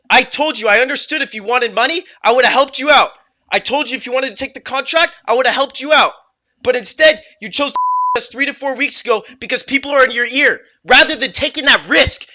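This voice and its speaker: A man's voice: extremely high pitch, sharp tone. A man talking heatedly and emotionally to his brother in a played clip, with bleeped swearing. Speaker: pro gamer in a clip. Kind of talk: emotional vlog rant.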